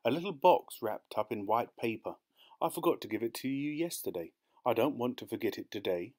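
Pompous upper-class husband by a male reader: deliberate, dawdling, commanding storybook character. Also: mid-range voice, lively intonation, a little mellow, close to an everyday voice. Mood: fussy. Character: pompous, dawdling, controlling well-to-do older husband. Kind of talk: audiobook character voice.

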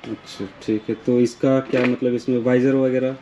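A man's voice: mid-range voice, flat delivery, normal speed, lo-fi sound. A man casually asking questions and acknowledging the answers, as a vlogger interviewing shop staff. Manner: inquisitive, repeatedly acknowledging answers. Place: small helmet shop indoors, glass front on busy street. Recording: clear background noise, clicks.

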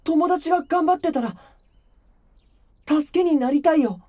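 A man playing a gentle, shy, earnest teenage boy idol in an anime-style voice drama, speaking softly and hesitantly. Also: extremely high pitch, unhurried. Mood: earnest, pleading. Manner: soft, hesitant, sincere delivery.

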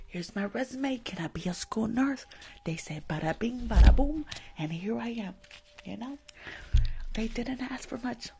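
A woman's voice: a little high, gravelly, a little mellow, slight background noise. A woman playing a rude, unqualified school nurse in an ASMR roleplay, bossy and mock-reassuring, repeating herself. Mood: casual, confiding. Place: small indoor room.

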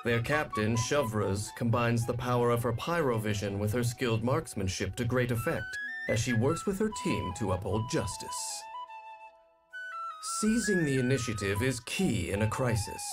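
A man narrates a game showcase from a script. His delivery is measured and polished, at a medium pitch, with music clearly behind him. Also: lively intonation. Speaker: trailer narrator.

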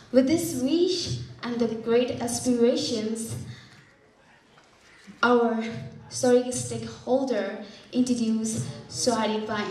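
A young woman from Nepal giving an earnest student welcome speech into a handheld mic at a conference, halting now and then with fillers. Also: a little high, darkish tone, a little hiss or hum. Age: young adult. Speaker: student presenter. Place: conference hall. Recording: echoey hall from far off.

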